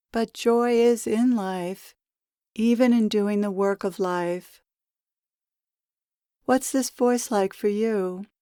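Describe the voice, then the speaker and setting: A woman leading a guided meditation as a spiritual teacher, with measured, deliberate phrasing. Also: mid-range voice, mellow, clean tone, dry recording, studio quality. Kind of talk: guided meditation, spoken as a channeled message.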